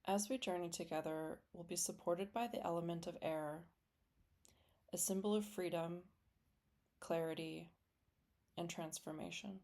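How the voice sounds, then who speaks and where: A woman leading a guided meditation in a gentle, soothing, deliberate way, with long pauses between phrases. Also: fairly low pitch, gravelly. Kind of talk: guided meditation, scripted and slow.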